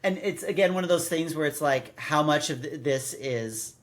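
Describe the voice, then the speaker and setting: A man speaks in loose, casual conversation as a podcast host. His voice sits a little higher than average for a man. He circles around his points, breaking off and starting sentences over. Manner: rambling, halting, often restarts sentences mid-thought. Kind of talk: casual chat reviewing a radio drama.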